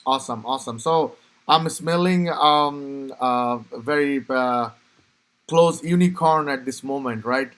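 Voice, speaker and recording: A man's voice: mid-range voice, measured pace, a bit bright. A man hosting a podcast interview, asking questions in an eager way and repeating praise. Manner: enthusiastic, repeats praise like "awesome". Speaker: podcast host. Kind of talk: casual interview.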